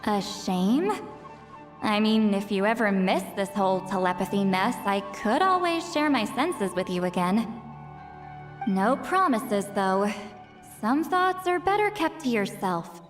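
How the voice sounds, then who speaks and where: A woman's voice: medium pitch, animated, a little slow, natural voice. A woman plays a proud young shaman from a video game, teasing and sure of herself. Her mid-range voice trails long reverb, with faint music behind. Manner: playful, self-assured. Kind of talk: video game character acting.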